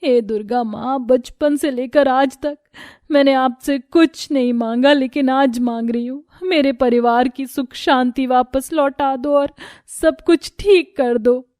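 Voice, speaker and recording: Woman acting young daughter-in-law, devout, gentle, pleading, sorrowful, moral story drama, dry recording. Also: high-pitched, a little slow, dry recording. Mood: tearful pleading. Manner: soft, pleading, earnest.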